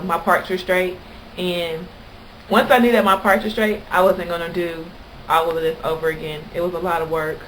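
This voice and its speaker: A woman's voice: medium pitch, a little hiss or hum, low-quality recording. A woman from Kentucky with an American accent, chatting casually and anecdotally to camera as a vlogger. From Kentucky, United States.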